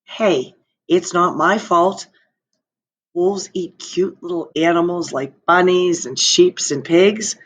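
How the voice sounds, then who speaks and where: A woman reading as a chatty, mock-innocent male storybook wolf who justifies himself, in a conversational, emphatic way with drawn-out dramatic phrasing. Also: fairly low pitch, husky. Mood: self-justifying. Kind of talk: storybook narration in first person.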